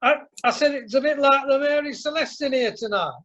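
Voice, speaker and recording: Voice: high-pitched, fairly quick, a bit bright. An amateur actor playing a blunt, jovial Northern English husband, chummy and blustering, in a radio comedy. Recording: muffled online meeting audio.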